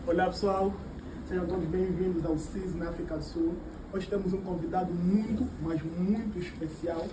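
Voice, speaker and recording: A man's voice: high voice, steady tone, brisk. Angolan guy interviewer, portuguese native, bit far from mic, lots of noise behind him. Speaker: host, interviewer. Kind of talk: casual video interview, addressing viewers. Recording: echoey room, distant mic, clearly noisy.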